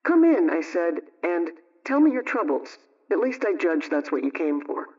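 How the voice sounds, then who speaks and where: A woman playing a brisk, motherly homemaking adviser, telling a scripted radio story in an emphatic, instructive way. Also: fairly low pitch, big pitch swings, far from the mic, muffled recording.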